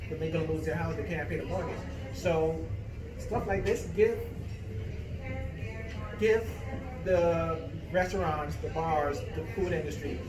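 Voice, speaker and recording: A middle-aged man from the Bahamas, a political candidate, speaking earnestly at a neighborhood meet and greet and laughing easily in banter. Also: a little high. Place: gathering in a room with people around. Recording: echoey room, mic far off, crowd noise.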